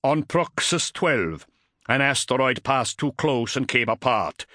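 A man voicing a gruff, sceptical, battle-hardened warrior in an audiobook, with a growling, blunt and forceful delivery. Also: medium pitch, lively intonation, a little slow, no echo, clean studio sound, voice pushed up. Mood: grim.

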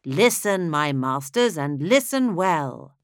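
A woman's voice: low-pitched, very animated, slow, dry recording, studio quality, full character voice. A woman voicing a theatrical chorus that declaims rhymed verse in an audiobook. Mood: ominous.

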